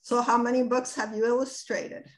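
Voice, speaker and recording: A woman's voice: a little high, flat delivery, normal speed. A woman interviewer putting questions to a guest in a conversational style.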